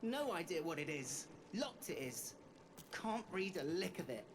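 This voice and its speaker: A man playing a scrappy, earnest young street kid in a game cutscene, with slangy, heartfelt speech and rough grammar. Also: a little high, very animated, a little slow, background noise. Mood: worried, sad.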